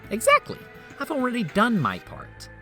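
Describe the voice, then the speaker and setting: A man's voice: moderate pace, exaggerated voice. A man voicing a sarcastic, blunt male doctor in a fan dub of a game. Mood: excited. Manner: sardonic and blunt, lively swings in pitch. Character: sarcastic, scheming male memory-doctor, middle-aged. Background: faint music.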